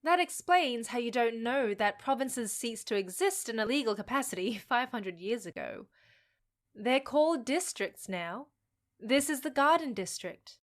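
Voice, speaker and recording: A woman's voice: a little high, animated, a little slow. An actress playing a frank, savvy young half-fae woman, teasing yet supportive, with a wry conversational manner in an audio drama. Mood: probing, teasing.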